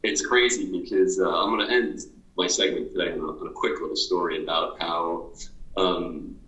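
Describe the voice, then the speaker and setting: A man's voice: deep voice, steady tone, moderate pace, bright, forceful tone, echoey room, lo-fi sound. A man interviewing a guest in an earnest, motivational way, asking questions over and over in slightly different words.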